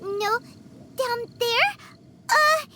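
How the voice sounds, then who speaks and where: Squeaky, very high little fairy companion. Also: female voice, extremely slow, very noisy, full character voice. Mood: puzzled, uneasy. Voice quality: squeaky, childlike. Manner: speaks of self in third person, exclaiming. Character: small excitable floating fairy-like girl companion. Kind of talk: video game voice acting.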